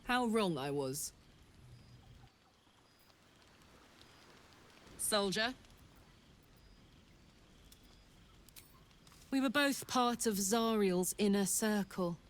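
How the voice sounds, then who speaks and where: A woman's voice: mid-range voice, animated, a little slow, lightly acted. A woman playing a weary, wry escaped soldier of a devil's army in a video game, talking in a rueful, conversational way. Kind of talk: video game dialogue acting.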